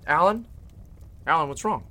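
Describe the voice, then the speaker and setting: An actor playing a commanding, steely lawyer who takes charge in a radio mystery, firm and authoritative. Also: male voice, on the high side, expressive, normal speed, sharp tone. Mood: urgent. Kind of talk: radio mystery drama in Golden Age manner.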